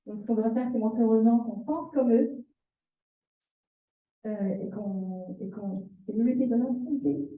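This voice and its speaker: A woman's voice: fairly high pitch, a little slow, long pauses. A woman interpreting a seminar live, phrase by phrase, expressively echoing the examples and sometimes correcting herself. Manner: echoes the speaker's examples expressively, with self-corrections. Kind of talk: consecutive interpreting of a seminar talk. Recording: roomy, slightly dull sound.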